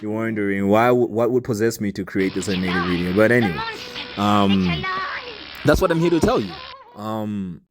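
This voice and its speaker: A young man from Zambia telling his own life story in a casual, earnest and open way, with animated emphasis. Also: lowish voice, moderate pace, music in the background.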